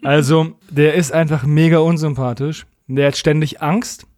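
A man's voice: fairly high pitch, steady tone, moderate pace, darkish tone, no room sound. A man co-hosting a podcast in casual, colloquial talk, giving frank opinions. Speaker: podcast co-host.

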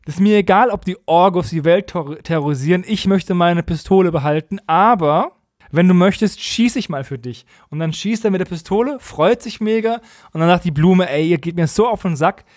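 A man's voice: high-pitched, a little fast, darkish tone, no room sound, clean studio sound. A man recapping stories as a podcast co-host in a colloquial, humorous, mockingly opinionated way. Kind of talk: casual humorous episode recap.

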